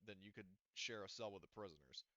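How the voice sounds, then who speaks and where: A man's voice: on the low side, fast, bright, forceful tone, no room sound, dull audio, pitched below the performer's own voice. A man voicing a stern male station overseer who commands androids, speaking hesitantly with fillers. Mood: stern warning. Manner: hesitant reported speech with fillers.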